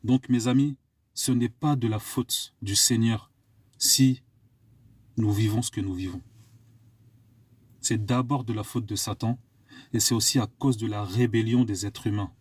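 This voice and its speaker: Guy from france preaching online, husky voice, dry close sound, keeps asking the same question over and over. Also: on the low side, fairly even intonation, unhurried, pauses often, no room sound. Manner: exhorting, repeats rhetorical questions. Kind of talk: live online sermon.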